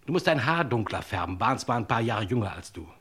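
An actor in a German radio crime drama, playing a film star's brisk, scheming friend who persuades and takes charge. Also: male voice, lowish voice, sing-song, a bit bright, husky, exaggerated voice. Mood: instructing.

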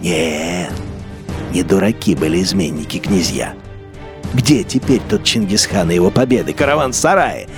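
A man's voice: deep voice, expressive, moderate pace, music in the background. An actor playing a Russian collaborator officer who justifies his treason in a radio play inner monologue, brooding and self-justifying, swinging between murmurs and outbursts.

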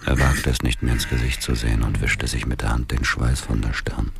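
An actor playing a grim, obsessed middle-aged widower with a gravelly voice, brooding and terse in a radio drama. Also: male voice, very deep voice, level tone, a little fast, background noise, full character voice. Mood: bitter, brooding. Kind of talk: radio drama narration and dialogue.

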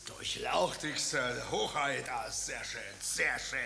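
An actor playing a vain, vague older tsar who hesitates and stammers, in a radio drama. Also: male voice, medium pitch, slow, vocal fry, bright, forceful tone, roomy sound, slight background noise, full character voice. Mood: flustered. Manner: hesitant, stammering with many ums.

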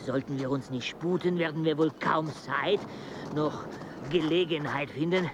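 A man's voice: a little high, a little slow, noisy background, lightly acted. An actor playing an eager male naturalist who urges his companion on and tells stories in a radio play. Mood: urging. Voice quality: urging, animated storyteller.

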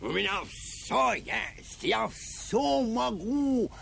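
A man playing a good-natured, dreamy, generous boa constrictor in a children's audio play, with a creaky voice and a drawn-out, gushing manner. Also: high voice, sing-song, a bit bright, a little hiss or hum, exaggerated voice. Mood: blissful, delighted.

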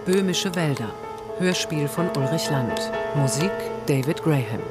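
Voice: mid-range voice, lively intonation, unhurried. An announcer reading the scripted title and credits of a radio drama. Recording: loud music behind.

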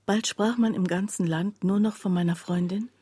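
An actress playing a sombre townswoman who recounts a friend's terrible deed in measured radio drama narration, often dropping to a hush. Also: medium pitch, steady tone, breathy edge, dry recording, clean studio sound, lightly acted. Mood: grave, restrained.

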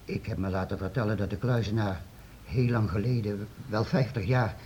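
An actor playing a timid, deferential castle servant in a period radio play, hesitant, evasive and stammering. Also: male voice, lowish voice, fairly even intonation, normal speed, a little mellow, close to an everyday voice. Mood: wary. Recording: dull, noisy old radio recording.

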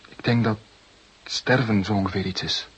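Very husky, sullen young burglar. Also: male voice, fairly low pitch, steady tone, normal speed, a bit bright, low-quality recording. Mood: defeated, brooding. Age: young adult. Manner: guarded, clipped, brooding answers. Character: sullen, defeated twenty-year-old burglar under police questioning. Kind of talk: Dutch radio play acting.

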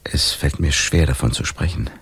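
An actor in a radio drama playing a tormented man with no memory, confessing in a halting, brooding, intense way. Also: extremely low pitch, a bit bright, husky, whispered, heavily acted. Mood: anguished, brooding. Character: tormented amnesiac man haunted by gruesome visions.

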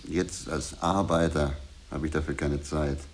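An actor playing a reticent, resigned writer in a radio drama, speaking in a terse, hesitant, evasive way. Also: male voice, a bass voice, level tone, unhurried, slight background noise. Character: Ferdinand, reticent resigned male writer turned brewery labourer. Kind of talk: understated stage-play radio drama acting.

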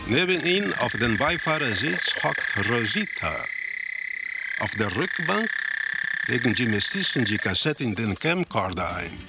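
A detached male narrator telling a grim crime story for radio. He speaks slowly and deliberately in a lowish, gravelly voice. Terse sentences are laid over loud music. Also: very animated. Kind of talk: scripted radio drama narration. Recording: music under the voice.

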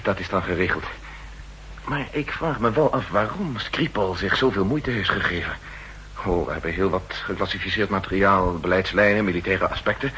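Low-voiced uneasy conspirator. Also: male voice, sharp tone. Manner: formal, courteous, uneasy. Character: nervous, suspicious male official plotting in secret. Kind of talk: 1960s Dutch radio play acting. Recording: noisy old 1960s radio recording.

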